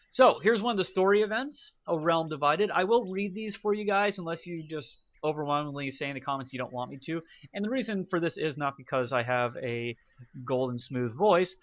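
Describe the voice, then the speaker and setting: A man's voice: fairly high pitch, a bit bright, no room sound, dull audio. A man giving casual, wry commentary while playing a strategy game, thinking aloud as he goes. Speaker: gaming YouTuber. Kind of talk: let's play commentary.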